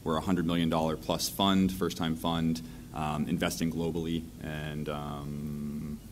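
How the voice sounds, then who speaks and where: A venture fund partner introducing himself on a conference panel, upbeat and fluent. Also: male voice, little pitch movement, unhurried, a little hiss or hum. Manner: upbeat, fluent pitch-style delivery. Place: conference hall.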